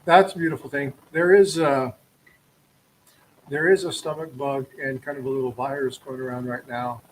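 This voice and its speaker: A man from Texas hosts a relaxed live stream and chats with a guest in a loose, joking manner. His voice sits at a medium pitch, and faint background noise runs behind him. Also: normal speed, rough recording. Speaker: coin roll hunting streamer. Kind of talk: casual live stream chat.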